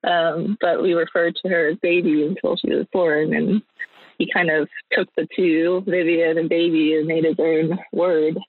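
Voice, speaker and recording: A woman's voice: deep voice, little pitch movement, normal speed, breathy edge, no echo. A young adult woman chatting casually as an interview guest, thinking aloud in a hesitant way. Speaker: guest, small business owner. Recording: thin phone-like line.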